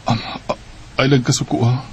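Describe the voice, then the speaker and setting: An actor playing a bashful young admirer in a romantic radio drama, with a Filipino Bisaya accent. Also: male voice, medium pitch, flat delivery, moderate pace, a little hiss or hum, close to an everyday voice. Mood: bashful, hopeful. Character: Dave, bashful young man confessing love.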